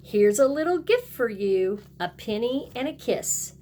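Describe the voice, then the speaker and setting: A woman's voice: a little high, animated, a little slow, noisy background. A woman kindergarten teacher reading a picture book aloud and talking directly to young children in a sing-song way.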